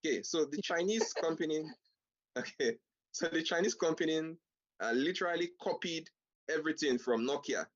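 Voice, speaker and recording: A man's voice: a little high, normal speed. Hesitant man on a muffled call. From Nigeria. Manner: hesitant, many fillers and restarts, says "okay" often. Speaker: discussion panelist. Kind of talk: casual panel discussion. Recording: muffled online call audio.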